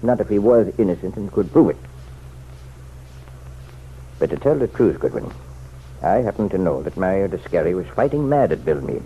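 A man's voice: low voice, very animated, normal speed, long pauses, dark tone. An actor in an old-time radio drama playing an insistent, folksy townsman who offers pointed, chummy advice. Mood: earnest, pressing. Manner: folksy, chummy, leaning and persuasive. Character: insistent, insinuating townsman, nosy self-appointed helper to the sheriff. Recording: muffled, noisy vintage radio recording.

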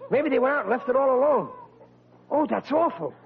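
A man's voice: very high voice, expressive, a little fast. An actor playing a bumbling, good-hearted young policeman with folksy blue-collar speech in a vintage radio comedy. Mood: worried, muttering. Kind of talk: 1940s radio comedy acting. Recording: noisy, muffled vintage radio recording.

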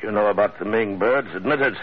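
Man playing a deep-voiced, suave, menacing radio villain. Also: lightly acted. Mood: insistent, controlled. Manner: courtly, unhurried, ornate formal speech. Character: suave, menacing middle-aged villain, politely threatening torturer. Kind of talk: old-time radio drama acting. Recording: muffled, phone-like old radio recording.

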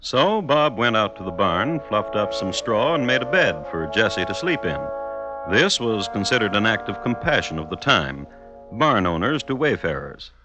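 A man narrates a classic radio drama in an American accent. His voice is fairly low yet very animated in pitch, with deliberate, wry formality and light music underneath. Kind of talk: old-time radio scripted narration.

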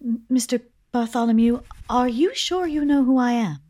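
An actress playing a wry, anxious young widow in a radio play, hesitant at times and drily witty. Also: female voice, a little high, normal speed, warm tone, lightly acted. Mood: puzzled, hesitant. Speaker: actor in audio drama.

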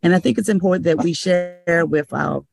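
An older woman from North Carolina who owns radio stations, giving measured advice on a video-call panel with many pauses. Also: on the low side, gravelly, darkish tone. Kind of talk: panel discussion.

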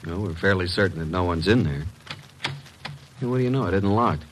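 An actor playing a brisk, streetwise detective's assistant in an old radio drama, matter-of-fact, on a faintly noisy recording. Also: male voice, sits low, lively intonation.

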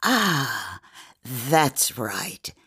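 An old lady narrator with a very deep voice. She is grumpy and theatrical, taking her time over every phrase of her tale in a comic audio drama. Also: big pitch swings, very slow, a bit bright, breathy edge, heavily acted. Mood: theatrical narrating.

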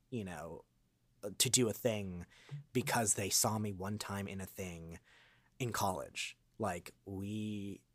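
A man in his twenties chatting reflectively as an interview guest, earnest and halting as he gathers his thoughts. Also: low-pitched, no room sound. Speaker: voice actor, podcast guest. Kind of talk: casual reflective interview chat.

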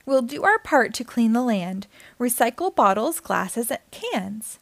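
Woman as a fretful, high-voiced tall giraffe. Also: big pitch swings, unhurried, gravelly, no room sound, heavily acted. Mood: complaining, leading. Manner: complaining, emphatic. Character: tall giraffe, fretful but clear-minded leader.

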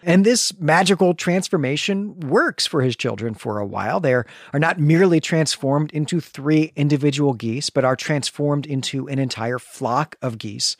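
A man co-hosting a book podcast, retelling a chapter in a lively storytelling way. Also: on the high side, moderate pace, hardly any pauses, no echo, clean studio sound. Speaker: podcast co-host. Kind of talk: book-club podcast recap.

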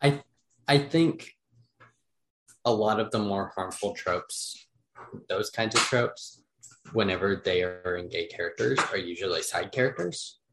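A man's voice: deep voice, measured pace, pauses often, a bit bright, rough recording. A young man talking as a podcast guest in a casual chat, hesitant, with frequent "um"s and restarts. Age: young adult.

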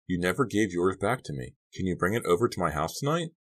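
A hot-headed teenage boy, performed by a male narrator, loud and easily panicked. The voice is pushed well up from a very deep natural register, with heated, protesting delivery in an audiobook story. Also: very animated, quick pace. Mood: insistent.

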